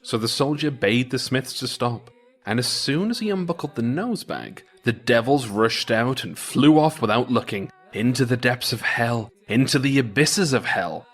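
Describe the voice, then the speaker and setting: Animated man telling an old folktale. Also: medium pitch, moderate pace. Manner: theatrical, expressive. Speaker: storyteller, podcast host. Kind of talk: dramatic folktale narration.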